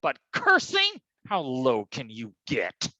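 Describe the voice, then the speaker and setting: Man playing a blustering, animated hard-boiled private eye. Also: mid-range voice, takes it slowly, no room sound, muffled recording, exaggerated voice. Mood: exasperated bluster. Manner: slangy, theatrical tough-guy bluster. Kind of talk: staged reading of a noir detective comedy.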